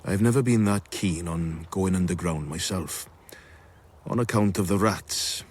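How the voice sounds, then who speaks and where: An actor playing a wry, jaded doctor, narrating his memories in a measured way for a radio drama. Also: low voice, darkish tone, husky. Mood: wry, reflective.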